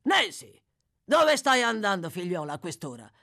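Casting: gruff, bullying male crook for a storybook played by a woman, brusque and sneering, very animated pitch. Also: normal speed. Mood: furious, shouting. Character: brutal, gruff, short-tempered male London thief.

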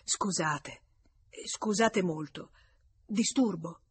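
A woman's voice: lowish voice, husky, dry recording, full character voice. A woman voicing a nervous, apologetic young man who stammers and repeats himself in an audiobook. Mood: sheepish, apologetic. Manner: stammering, halting, repeating words.

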